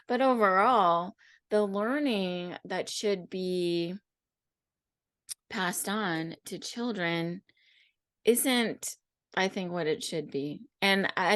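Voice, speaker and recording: A woman hosting a talk with a teacher, speaking in a thoughtful, reflective, slightly halting way. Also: lowish voice. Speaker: host, teacher. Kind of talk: casual conversation.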